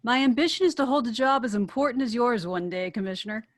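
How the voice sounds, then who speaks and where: A woman's voice: a little high, fast talker, dry recording, natural voice. A woman playing a poised, flirtatious socialite in a script table read, coy and charming.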